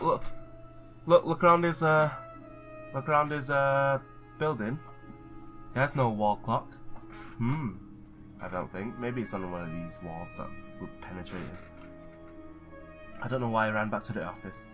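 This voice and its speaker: A man with a fairly low voice plays a visual novel and reads its text aloud. He trips over the odd word and breaks in with his own excited reactions. Faint game music plays behind him. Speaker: gamer playing and reading a visual novel.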